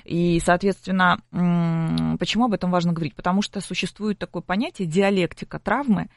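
A woman's voice: fairly low pitch, brisk, vocal fry, dry recording, studio quality. A middle-aged woman explaining things as an expert guest in an interview, in a didactic way with rhetorical questions. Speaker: psychology expert guest on a broadcast.

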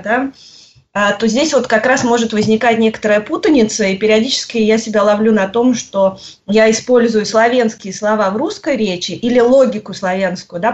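A woman answering interview questions, pausing often and searching for her words. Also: on the high side. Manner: hesitant, searching for words with frequent pauses. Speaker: guest. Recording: muffled lo-fi audio.

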